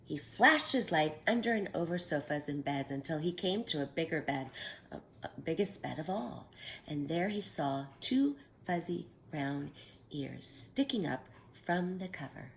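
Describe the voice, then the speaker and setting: A woman reading a children's picture book aloud with animated, storytime expression. Her voice sits on the lower side but swings widely in pitch, and she stops to ask her young listeners questions. A faint noise lies under the recording. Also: measured pace, vocal fry.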